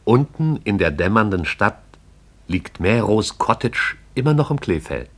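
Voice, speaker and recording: A man narrating a radio play in a measured, poetic storytelling manner. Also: lowish voice, big pitch swings, a bit bright, breathy edge.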